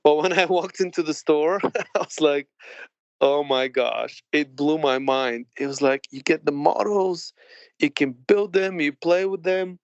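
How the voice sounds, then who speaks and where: A man from Bulgaria in his late thirties, a podcast guest telling his story in a chatty, laughing way with halting restarts. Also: fairly high pitch, steady tone, no echo, dull audio. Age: late 30s. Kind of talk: casual interview chat.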